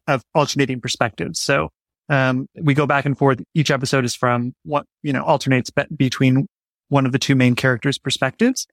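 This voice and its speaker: A man's voice: mid-range voice, vocal fry, a bit bright, no echo. A man speaking conversationally as an interview guest, explaining his creative work in a reflective way. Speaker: audio drama writer, interview guest.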